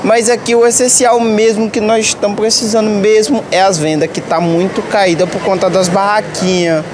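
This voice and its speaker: A man's voice: sits high, level tone, moderate pace. A man, answering casually in an outdoor market interview. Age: 20s. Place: open-air fruit market. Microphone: handheld reporter microphone. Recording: clear outdoor street noise.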